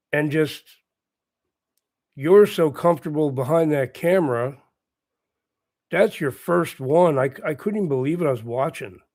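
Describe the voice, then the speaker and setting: A man with a hoarse voice from a recent bout of laryngitis, hosting a casual livestream and chatting quietly and encouragingly with his viewers. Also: fairly high pitch, dry recording, studio quality. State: hoarse, recovering lost voice. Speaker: livestream host. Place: at home with morning coffee.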